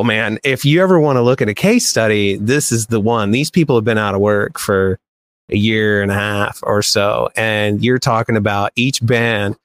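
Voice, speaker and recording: A man's voice: on the low side, dry recording. A man co-hosting a podcast in casual chat, telling things in a rambling, emphatic way. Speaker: podcast co-host.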